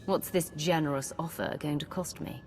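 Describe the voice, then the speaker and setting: Low-voiced, decisive commander. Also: female voice, moderate pace, vocal fry, darkish tone, soft background music. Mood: composed. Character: composed, decisive woman military commander. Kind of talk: video game cutscene acting.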